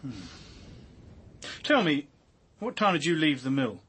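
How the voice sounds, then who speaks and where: An actor playing a gruff, sardonic male police inspector in a radio drama, speaking in a blunt, wry, clipped way. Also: a little high, animated, a little slow, a bit bright, exaggerated voice. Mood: probing, wry. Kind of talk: acted British radio drama dialogue.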